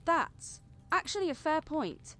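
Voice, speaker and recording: A woman's voice: sits high, sing-song, measured pace, a bit bright, slight background noise, heavily acted. A woman playing a bookish, earnest schoolgirl activist in a fan audio drama, prim and emphatic.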